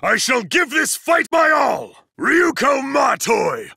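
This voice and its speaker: An actor playing a towering, stern, fiercely loyal student disciplinarian in an anime fighting game, commanding, pompous and formal, with a gravelly, raspy voice. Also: male voice, very high-pitched, sing-song, slow pace, pushed and bright, no room sound, studio quality, heavily acted. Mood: bellowing, defiant.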